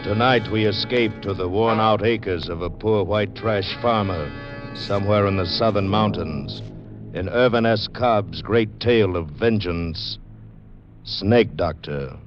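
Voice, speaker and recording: A man's voice: fairly low pitch, unhurried, music in the background. A man announcing a 1940s radio drama in an ominous, portentous scripted style. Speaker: program announcer. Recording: muffled old radio broadcast. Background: musical score.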